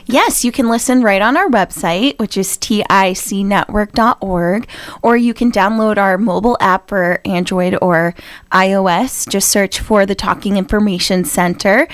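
A woman communications director giving brisk, promotional answers in a radio interview, laughing easily. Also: medium pitch, no room sound.

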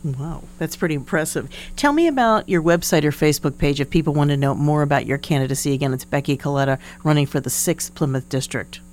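A woman's voice: deep voice, quick pace, hardly any pauses, darkish tone. A woman hosting a conversational studio interview, putting questions to a guest. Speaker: radio interviewer. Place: radio studio. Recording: background hum and noise.

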